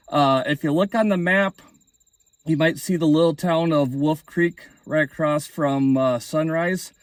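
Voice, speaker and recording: A man's voice: a little high, no room sound, low-quality recording. Dry, close-sounding man, amateur radio host. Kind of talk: casual hobby vlog talk and radio calling.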